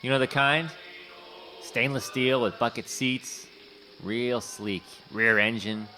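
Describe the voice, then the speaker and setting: An actor playing a tenacious talent scout, talking fast like a salesman in an audio drama. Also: medium pitch, pushed and bright, a little hiss or hum. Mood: wistful. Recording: music playing under the voice.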